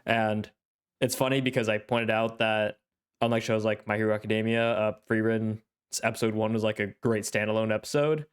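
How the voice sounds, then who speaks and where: A young man hosting an anime reaction channel in casual solo commentary, thoughtful and rambling with many fillers, his nose congested and throat needing clearing. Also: on the low side, fairly even intonation, a little fast.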